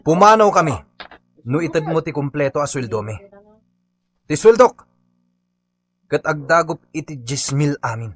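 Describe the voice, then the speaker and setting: A stubborn Filipino working man in a radio comedy drama. His fairly high male voice is accusing and insistent, with faint music beneath it. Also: lively intonation, measured pace, pauses often. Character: aggrieved, stubborn male labourer demanding his unpaid wages.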